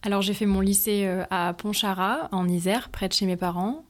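A woman's voice: medium pitch, moderate pace. A woman in her twenties, from France, answering interview questions about her work with many hesitant fillers and careful explanations. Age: 20s. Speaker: interview guest, factory team manager.

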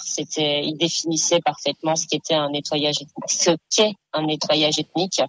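Earnest low-voiced woman activist. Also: no echo, dull audio. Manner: earnest, halting with many fillers. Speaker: activist guest. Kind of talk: studio radio interview. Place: radio studio.

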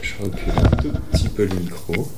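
A man with a middling pitch who is interviewing a student and calmly reading back his notes. His voice sounds roomy and a little distant, with faint room ambience behind him. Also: a little fast, background noise.

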